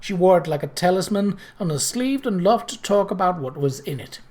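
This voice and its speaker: A narrator reading a period novel aloud in an expressive way, with occasional stumbles. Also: mid-range voice, a little fast. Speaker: audiobook reader.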